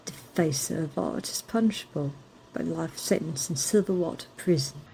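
Woman, mellow low voice, encouraging reading, faint ambience behind. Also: moderate pace, vocal fry, slight background noise, poor audio. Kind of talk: audio drama reading.

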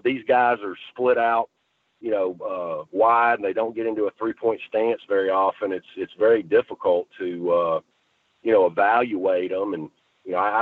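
A man with a husky voice giving football analysis on sports talk, explaining in a halting, folksy way. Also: fairly low pitch. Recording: tinny phone line, roomy echo.

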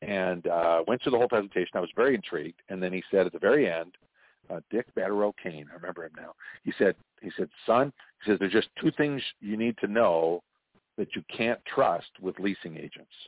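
A man's voice: deep voice, brisk, no room sound. A Canadian man, a guest on an interview, telling stories and joking in casual conversation over a call. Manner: chatty storyteller, jokes and laughs often. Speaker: interview guest, franchise consultant. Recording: tinny phone line.

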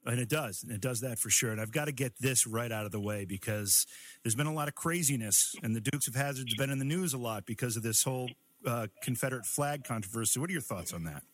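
A man with a medium-pitched voice hosts a talk radio show. He interviews in a conversational style. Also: quick pace, a bit bright, dry recording.

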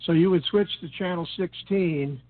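Male, slightly high voice, methodical explainer, measured delivery, thin telephone-grade audio. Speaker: guest explaining radio procedures. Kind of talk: instructional explanation. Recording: tinny narrow-band phone-like line.

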